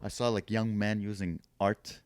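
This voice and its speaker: A man's voice: lowish voice, moderate pace, no echo. A man from Lebanon, a comedian guest on a podcast, telling stories in casual banter and breaking into mocking impressions. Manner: animated, mocking impressions and bursts of laughter.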